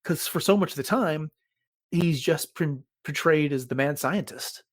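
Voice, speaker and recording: A man's voice: a little high, unhurried. A man hosting a podcast, casually recapping a story and thinking aloud as he talks. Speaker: podcast host.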